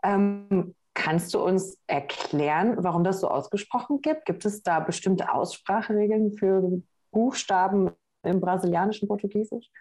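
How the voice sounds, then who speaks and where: A young woman co-hosts a podcast, chatting casually and with enthusiasm. She often pauses on fillers while she gathers her thoughts. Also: medium pitch, darkish tone, faint music behind. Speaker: podcast co-host.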